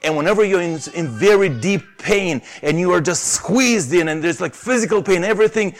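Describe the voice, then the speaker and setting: A man's voice: sits high, expressive, brisk, soft background music. A man with a Romanian accent preaching a sermon earnestly, pressing hard on key phrases. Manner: earnest, rises to emphatic stresses on key phrases.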